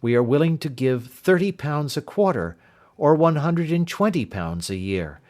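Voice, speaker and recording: A man voicing a brisk, earnest young woman governess in an audiobook reading, in his own everyday voice. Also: on the high side, lively intonation, a little mellow, close to an everyday voice.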